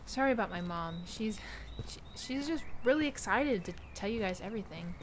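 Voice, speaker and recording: A woman's voice: mid-range voice, lively intonation, normal speed, a little hiss or hum, natural voice. An actress playing a kind, slightly apologetic teenage girl in an audio drama. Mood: friendly.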